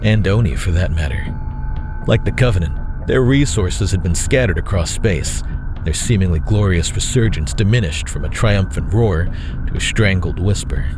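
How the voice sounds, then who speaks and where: A man narrating a scripted sci-fi story in a measured, serious manner. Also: low voice, animated, noisy background.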